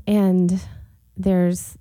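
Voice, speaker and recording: A woman's voice: fairly low pitch, little pitch movement, extremely slow, mellow, no room sound, clean studio sound. A middle-aged woman hosting a radio show solo, chatting casually with many ums, her voice still a little off after being sick. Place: radio studio.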